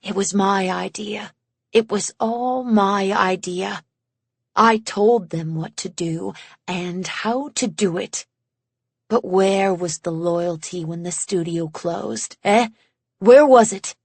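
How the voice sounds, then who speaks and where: A woman's voice: medium pitch, slow, vocal fry, slightly rough, no echo, heavily acted. A woman voicing a bitter, volatile genius of a man in an audiobook, intense, condescending and mocking. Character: bitter, volatile, self-important genius man, haggard and snide. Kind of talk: audiobook character acting.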